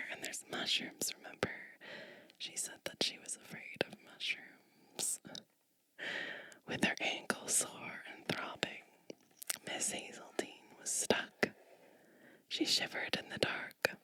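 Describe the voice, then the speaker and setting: A woman whispering a bedtime storybook in an ASMR style, gentle and unhurried, close to the microphone. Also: no echo. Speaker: ASMR host reading a storybook aloud.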